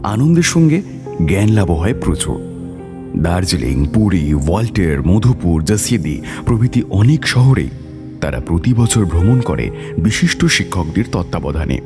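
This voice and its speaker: A man's voice: low-pitched, big pitch swings, moderate pace, music in the background. A man reading a scripted story as its narrator, with dramatic delivery.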